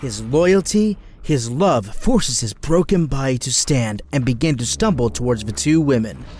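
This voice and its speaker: A man's voice: medium pitch, expressive, unhurried, a little mellow. A man giving dramatic scripted narration as the narrator of an action audio drama.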